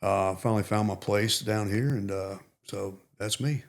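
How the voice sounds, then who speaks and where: Man, middle-aged, low voice, flat delivery, earnest, reflective, conversational. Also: moderate pace, vocal fry. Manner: earnest, reflective, many "you know" fillers. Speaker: podcast guest, retired Marine. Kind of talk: casual interview.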